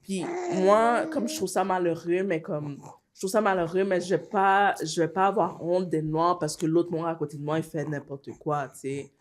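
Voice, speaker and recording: A woman's voice: lowish voice, fairly even intonation, moderate pace. A woman with a Quebec French accent talking reflectively and earnestly in a casual podcast discussion, sometimes slipping into English. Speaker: podcast panelist.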